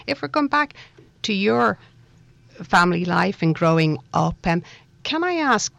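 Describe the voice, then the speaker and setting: A woman hosting an interview in a supportive, conversational way, with gentle, hesitant phrasing. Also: mid-range voice, sing-song, measured pace, vocal fry, no echo. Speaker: interview host.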